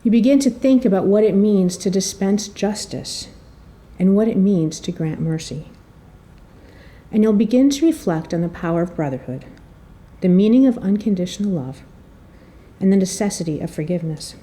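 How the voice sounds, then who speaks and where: A woman giving a prepared introduction speech to an audience in a theatrical, storytelling way, with rhetorical questions and pauses. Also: mid-range voice, animated, warm tone. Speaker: editor and marketing director introducing an author. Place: room at a public presentation.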